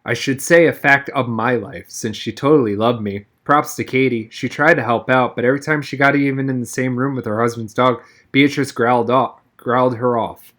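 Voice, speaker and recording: A man reading a novel aloud as an expressive narrator, with wry first-person storytelling. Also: mid-range voice, normal speed. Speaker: reader, narrator. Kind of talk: expressive audiobook-style read-aloud of a novel.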